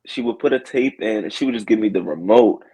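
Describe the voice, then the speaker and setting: Male guest, early 20s, low husky voice, loose casual talk. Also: a little fast, mellow, no room sound. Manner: laid-back, lots of "like". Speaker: podcast guest. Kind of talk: casual interview chat.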